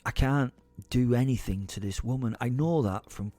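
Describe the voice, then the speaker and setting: A man's voice: medium pitch, normal speed, warm tone, lightly acted. An actor playing a foul-mouthed, ranting comic werewolf who narrates his own audio drama in a theatrical, rambling, sweary way. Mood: seething. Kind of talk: dramatised first-person storytelling. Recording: music under the voice.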